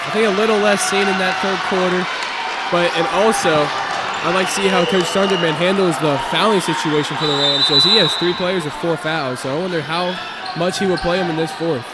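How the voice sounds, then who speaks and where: Male basketball analyst, slightly high voice, offering color commentary with a very loud crowd behind him. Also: fairly even intonation, fairly quick. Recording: loud gym crowd and court noise behind. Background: cheering crowd and bouncing basketball.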